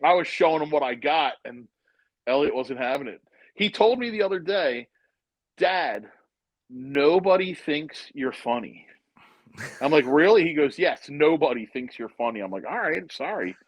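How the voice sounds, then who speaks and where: Middle-aged male guest for a chat show. Slightly higher pitch, dry recording, loose joking storyteller. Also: normal speed, no room sound, poor audio. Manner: joking, rambling storyteller, speeds up when excited.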